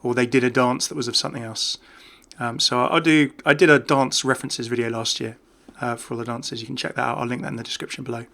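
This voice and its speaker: A man chats his way through casual game commentary with obvious enthusiasm. His voice is bright and forward with plenty of creak at the edges, and he talks off the cuff, keen on every small detail. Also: medium pitch, fairly even intonation, quick pace, few pauses.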